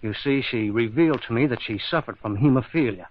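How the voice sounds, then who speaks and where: An actor playing a cold, smooth-talking middle-aged husband with murderous intent in an old-time radio mystery, speaking in a measured, formal way. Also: male voice, mid-range voice, natural voice. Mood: composed, evasive. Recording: dull lo-fi old radio recording.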